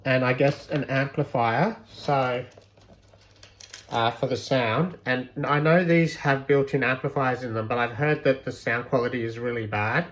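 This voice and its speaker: A man's voice: medium pitch, fairly even intonation, measured pace, fairly bright tone, slight background noise. A man presenting a homemade electronics project in an informal, unscripted way, hesitantly thinking aloud as he goes. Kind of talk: casual unscripted project walkthrough.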